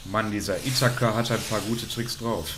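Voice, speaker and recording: A brash brawler in a comic detective radio play, acted by a man. He talks blunt slang in a gruff manner at a slightly low pitch, with faint noise behind him. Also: steady tone, normal speed, natural voice. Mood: satisfied. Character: brash, crude, brawling tough guy detective ally. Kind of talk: parody detective audio drama acting.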